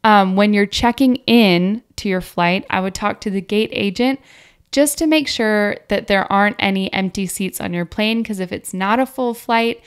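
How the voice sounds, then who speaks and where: A woman's voice: mid-range voice, moderate pace, clear, resonant tone. A woman in her thirties co-hosting a podcast, giving casual, conversational advice close to a tabletop microphone. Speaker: podcast co-host. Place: small indoor room at a table. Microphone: tabletop podcast microphone on stand.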